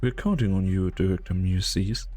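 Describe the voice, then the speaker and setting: A man voicing a calm, perceptive doctor and strategist in an amateur fan dub, speaking in a calm, probing way. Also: deep voice, moderate pace, no room sound, soft background music.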